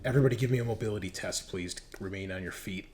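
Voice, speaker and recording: A man's voice: lowish voice, racing, music in the background. A man narrating a tabletop horror game as game master in a casual, conversational way.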